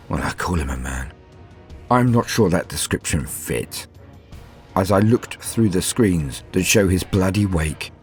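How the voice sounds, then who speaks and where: A man voicing a shaken starship captain on a first command, giving a formal, confessional account in a horror audio tale, halting and then hurried. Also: low-pitched. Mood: stunned disbelief. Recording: music under the voice.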